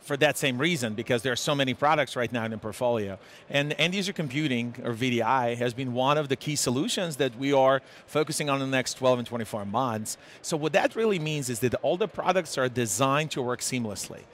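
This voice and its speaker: A man in his forties from Serbia with a Serbian accent, speaking haltingly with many fillers as a guest in a live conference interview. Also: medium pitch, a bit bright, slight background noise. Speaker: tech executive guest. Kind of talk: live trade-show interview. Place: busy conference hall. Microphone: headset mic. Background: crowd chatter.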